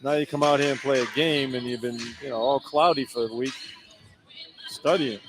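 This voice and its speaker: A man's voice: mid-range voice, fairly even intonation, normal speed. A man giving colloquial, opinionated color analysis during a live radio basketball broadcast from an indoor arena. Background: clear arena noise.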